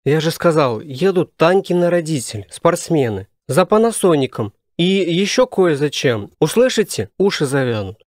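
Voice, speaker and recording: A man's voice: fairly high pitch, very animated, normal speed, few pauses, darkish tone, no echo, studio quality, pitched above the performer's own voice. A man voicing a brash, flippant schoolboy son in an audiobook comedy. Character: cheeky, slangy schoolboy son.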